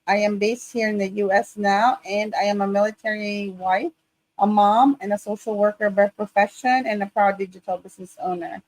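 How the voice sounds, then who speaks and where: A woman's voice: medium pitch, steady tone, normal speed, darkish tone, rough recording. A woman from the Philippines co-hosting a live online show, upbeat and full of repeated greetings. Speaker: co-host.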